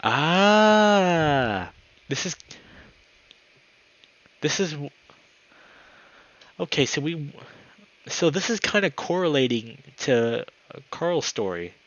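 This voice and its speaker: A man's voice: fairly high pitch, lively intonation, slow pace, long pauses, fairly bright tone, lo-fi sound. A man hosting a gaming video, reading a visual novel aloud with commentary and correcting himself when he stumbles over lines.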